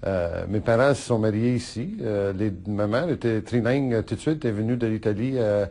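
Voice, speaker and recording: A middle-aged man whose first language is Italian, answering interview questions in a halting way with many fillers and restarts, laughing often. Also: on the low side, dark tone. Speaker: politician interviewee.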